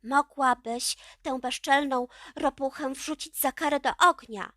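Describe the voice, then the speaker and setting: A woman voicing a spiteful, indignant witch aunt who scolds loudly and shrilly in a children's storybook. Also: sits high, fairly even intonation, a little slow, no room sound, studio quality.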